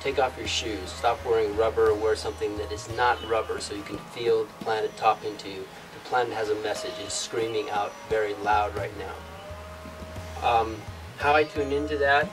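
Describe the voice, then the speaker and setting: A young man giving a meandering spiritual yoga talk in an outdoor rock cave, halting often and restarting his sentences. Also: on the low side, fairly even intonation. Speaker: yoga teacher. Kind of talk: rambling spiritual teaching monologue. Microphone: far camera. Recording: distant mic with music behind. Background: instrumental music.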